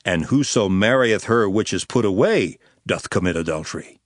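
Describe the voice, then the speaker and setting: A man playing a grave, earnest male teacher in a dramatized scripture reading, with solemn, emphatic, measured oratory. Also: deep voice, expressive, no echo, studio quality. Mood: firm teaching.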